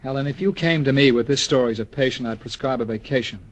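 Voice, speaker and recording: A man's voice: mid-range voice, quick pace, natural voice. A man playing a patronizing but reassuring doctor in old-time radio drama, conversational, soothing and condescending. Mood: soothing, amused.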